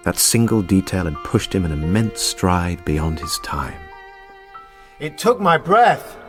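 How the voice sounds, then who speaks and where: A haunted young restorer of old church paintings in a period radio drama. His voice is on the low side, hesitant with people and absorbed when he speaks of art, with music underneath. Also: very animated, slow pace, heavily acted. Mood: awed. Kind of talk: naturalistic radio drama, dialogue and reflective narration.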